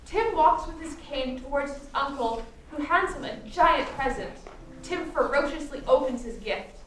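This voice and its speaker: A woman's voice: high-pitched, expressive, moderate pace, a bit bright. A woman narrating a scripted Christmas radio play as its storyteller. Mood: lively storytelling. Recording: distant echoey stage, faint noise.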